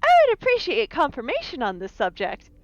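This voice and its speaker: A woman's voice: a little high, huge pitch swings, fairly quick. A woman roleplaying in a tabletop game, speaking in a formal, precise, wordy way. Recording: slightly dull audio, music behind. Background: music.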